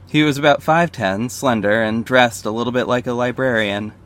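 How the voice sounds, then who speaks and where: A man's voice: medium pitch, moderate pace, fairly bright tone, lightly acted. A man playing a confident, flirty detective and secret vigilante, narrating an audio drama in the first person, conversational and confiding. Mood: wry, relaxed. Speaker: narrator and lead character. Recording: faint background noise.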